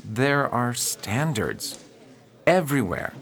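An actor playing an upright, earnest preacher who pleads and reasons with others in a radio drama. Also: male voice, mid-range voice, sing-song, slow pace, a bit bright, heavily acted. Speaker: reverend at a mission.

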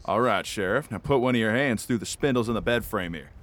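A man's voice: lowish voice, animated, fast talker, a bit bright, slight background noise. A man playing an outlaw's sidekick in an amateur radio drama, blunt and threatening. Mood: businesslike. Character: male outlaw sidekick holding a gun.

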